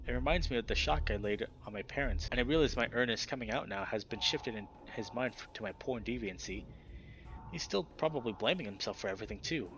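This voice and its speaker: A man reading a visual novel aloud and commenting casually as a video host, expressive when he reacts. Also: medium pitch, a little hiss or hum. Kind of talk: casual let's play commentary and narration. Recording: slightly dull recording with background music. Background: game music.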